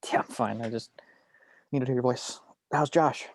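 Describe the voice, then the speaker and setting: Brooding detective, played by a man, mid-pitched, weary but devoted, screen drama read. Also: normal speed, natural voice. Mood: tired, tender. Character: weary, brooding male investigator, quietly devoted husband.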